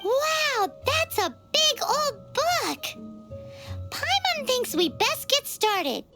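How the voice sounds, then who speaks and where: A woman voicing a small, childlike fairy companion, chirpy and grumbly, with a squeaky voice in an anime game. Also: big pitch swings, very slow, heavily acted. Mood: amazed. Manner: speaks of self in third person. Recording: music behind the voice.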